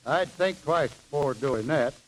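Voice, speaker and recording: A man's voice: fairly high pitch, lively intonation, a little slow, a little hiss or hum, rough recording. An actor playing a mild, awkward ex-soldier handyman in an old-time radio comedy, hesitant, folksy and earnest.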